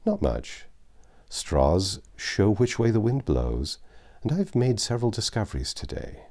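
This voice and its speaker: A man voicing a proud, tender middle-aged father in a storybook reading, speaking in a measured, fond, fatherly way. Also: on the low side, huge pitch swings, darkish tone, voice pushed up, full character voice. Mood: fond, cheerful.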